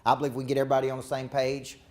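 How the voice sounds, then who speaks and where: A man's voice: mid-range voice, completely flat delivery, rapid-fire, a little mellow. A man with a Southern accent giving a live seminar talk as a leadership coach, emphatic and repetitive with a preacher-like cadence.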